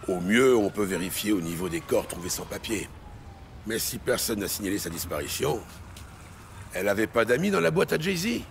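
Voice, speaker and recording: Bossy corrupt lieutenant, low gravelly voice, street slang, faint noise behind. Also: male voice, very animated, normal speed. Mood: wary, brusque. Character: gruff, domineering corrupt New York police lieutenant. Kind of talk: audio drama dialogue.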